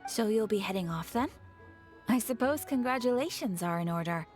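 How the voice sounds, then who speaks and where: A woman voicing a calm, formal and courteous receptionist in a video game. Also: mid-range voice, moderate pace, soft background music, natural voice. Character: polite, formal young female guild receptionist.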